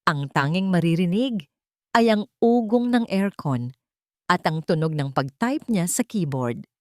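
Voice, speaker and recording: Female narrator for scripted romance drama: lowish voice, very varied intonation, dry close sound. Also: animated, unhurried, a little mellow, no echo. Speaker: story narrator.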